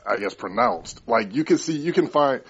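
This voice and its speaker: A man's voice: mid-range voice, expressive, normal speed, slightly rough. A man cohosting a casual podcast, blunt and insistent in his opinions. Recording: dull lo-fi sound.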